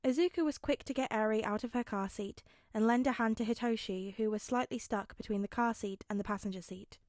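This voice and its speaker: A woman's voice: on the high side, brisk, a little mellow, dry recording, clean studio sound. A woman reading a scripted story aloud as an audiobook narrator. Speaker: audiobook narrator of fan fiction.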